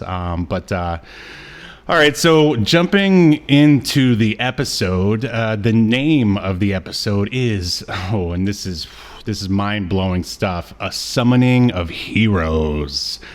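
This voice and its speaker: A man's voice: lowish voice, lively intonation, takes it slowly. A man co-hosting a podcast with casual, joking banter and a wry, tongue-in-cheek delivery. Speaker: podcast co-host.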